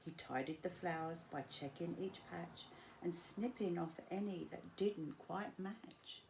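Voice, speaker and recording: A woman reads a rhyming children's picture book aloud. Her voice sits a little low and she goes slowly, drawing out key words with expressive phrasing. There is noticeable noise behind her. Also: rough recording. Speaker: storyteller reading to school pupils. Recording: clear background noise.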